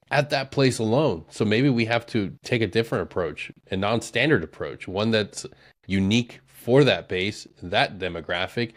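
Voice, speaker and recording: Low-voiced blunt male podcast host. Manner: blunt, profane, animated when riled. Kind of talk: casual interview chat.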